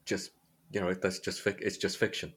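Deep-voiced man, slightly husky, chatting casually. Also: completely flat delivery, normal speed, a bit bright. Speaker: podcast host. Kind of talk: casual book chat.

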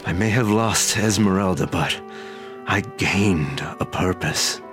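A lonely guardian bell-ringer with a very low, heavy voice. He speaks slowly and solemnly, with a quiet sadness. Music plays under him. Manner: solemn, measured, quietly sad. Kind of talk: radio drama acting.

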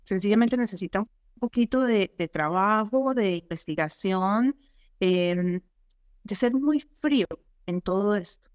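A woman's voice: mid-range voice, animated, measured pace, no echo. A middle-aged woman, an immigration consultant co-hosting a talk show, explaining her points emphatically and often asking rhetorical questions. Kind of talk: advisory talk show chat.

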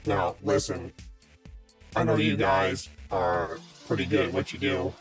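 A man playing a cool, shrewd underworld weapons buyer in a tabletop role-play, negotiating in a measured, businesslike way. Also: low voice, flat delivery, over loud music. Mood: businesslike, cordial.

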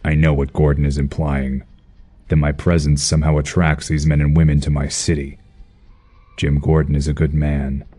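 A man voicing a brooding masked vigilante, narrating in a terse, gruff, hard-boiled manner. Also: rumbling low, monotone, moderate pace, mellow. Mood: grim. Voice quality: husky, gruff. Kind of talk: audio drama narration. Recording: faint music behind.

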